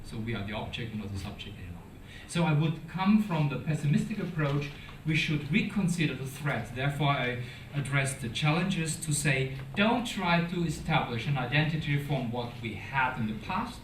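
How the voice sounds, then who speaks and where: A man's voice: fairly high pitch, animated, moderate pace, few pauses. A man with a mid-range voice makes an earnest argument on a panel. He is caught from a distance in an echoey hall, with faint noise behind. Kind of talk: panel discussion. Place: conference hall.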